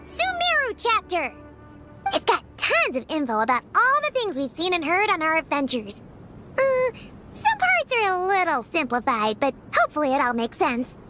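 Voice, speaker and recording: A woman voicing a tiny, eager, childlike female fairy companion in a video game, with a squeaky voice and a proud, chirpy manner. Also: wildly swooping pitch, moderate pace, sharp tone, faint music behind, exaggerated voice. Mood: cheerful, confident. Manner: eager, refers to self in third person. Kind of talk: video game character dialogue.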